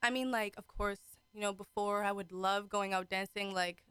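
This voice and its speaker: Woman in her twenties, bright crisp voice, casual, giggly, chatty radio guest. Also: mid-range voice, fairly even intonation, moderate pace, dry recording. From Caribbean, raised in Trinidad and the British Virgin Islands. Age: 20s. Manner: giggly, chatty, acts out her own remarks. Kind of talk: casual chat.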